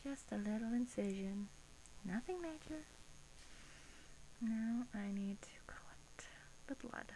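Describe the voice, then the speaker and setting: Woman playing a slow, soft-spoken, creaky vampire. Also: a little high, animated. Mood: soothing, clinical. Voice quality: soft, breathy, often whispering. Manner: slow, coaxing, condescending. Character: sweetly menacing female vampire, patient and teasing. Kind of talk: soft-spoken ASMR roleplay. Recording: faint hiss.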